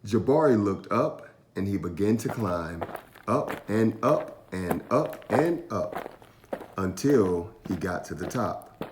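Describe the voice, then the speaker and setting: A man reading a children's story aloud with expressive, animated narration and drawn-out emphasis. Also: fairly low pitch, echoey room, background noise. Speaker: storytime reader.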